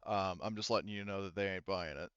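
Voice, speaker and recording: Clear-voiced man running a game, slightly muffled. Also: sits low, fairly even intonation, fairly bright tone, no echo. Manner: halting, thinking aloud while checking rules. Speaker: game master. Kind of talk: narrating and refereeing an online tabletop roleplaying game. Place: online voice call. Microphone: gaming headset.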